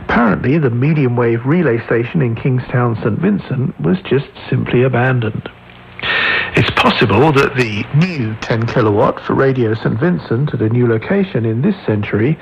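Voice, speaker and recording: A man presenting scripted radio history in a measured, formal announcer's delivery, heard over shortwave radio. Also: mid-range voice, expressive, vocal fry, dull audio. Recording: noisy shortwave radio reception.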